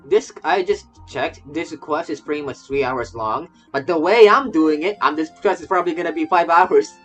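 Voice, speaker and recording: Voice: mid-range voice, normal speed. A young adult streamer giving casual, rambling gaming commentary and often repeating phrases. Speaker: streamer, virtual YouTuber. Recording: voice over game music. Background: soft game music.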